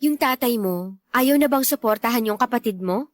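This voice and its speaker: A woman's voice: fairly high pitch, normal speed, no echo, clean studio sound, close to an everyday voice. A young woman playing a concerned, inquisitive girlfriend in a conversational radio drama, speaking with easy familiarity. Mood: concerned, curious.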